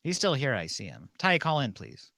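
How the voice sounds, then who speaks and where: A man's voice: mid-range voice, huge pitch swings, normal speed, gravelly, pushed and bright, no room sound, clean studio sound. A man casually hosting a comedic ceremony on a livestream. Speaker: host of a comedic mock-church livestream.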